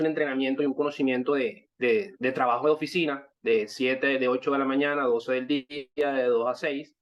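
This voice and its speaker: Colombian coastal man on a muffled online call. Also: fairly high pitch. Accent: Colombian Caribbean (Barranquilla). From Colombia, Caribbean coast (Barranquilla, born in Santa Marta). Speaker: network marketing member giving a testimonial. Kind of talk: personal testimonial to an online group.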